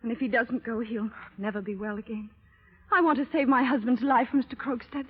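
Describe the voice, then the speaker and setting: An actress playing an eager, coaxing, childlike young wife in vintage radio drama style, with breathless, gushing delivery. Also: female voice, fairly high pitch, fairly even intonation, fairly quick, slightly rough. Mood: anxious pleading. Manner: breathless pleading, exclaiming and gushing. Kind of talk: 1930s-40s radio drama acting. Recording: muffled, band-limited vintage radio recording.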